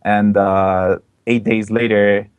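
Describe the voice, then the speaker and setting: A young man from Belgium talks as a guest on an online call, his voice low and the sound dry with no room around it. He chats eagerly, full of fillers and restarts. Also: flat delivery, takes it slowly, clean tone, no room sound, narrow, tinny audio. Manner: enthusiastic, chatty, many fillers. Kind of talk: casual interview chat. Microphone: online call mic.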